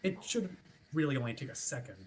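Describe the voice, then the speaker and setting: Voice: medium pitch, lively intonation, fast, close to an everyday voice. An actor playing an officious, politely pushy ship's watch officer in a formal, wordy script reading. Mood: politely insistent. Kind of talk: theatrical script reading over a livestream. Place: remote online stream.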